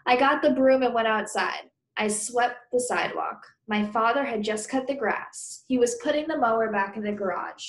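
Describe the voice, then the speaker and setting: A woman teacher reading a children's story aloud, pausing with conversational explanations and questions for pupils. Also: fairly high pitch, reverberant.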